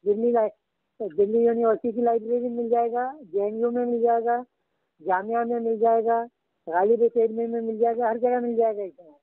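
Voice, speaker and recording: An older man teaching Urdu literature, slowly and patiently dictating references to a student. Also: extremely high pitch, flat delivery, no room sound. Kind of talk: guiding a student over the phone. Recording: tinny phone line.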